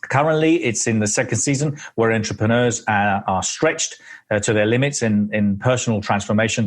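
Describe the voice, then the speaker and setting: A man hosting an interview, introducing his guest with many hesitations and eager restarts. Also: lowish voice. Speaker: host.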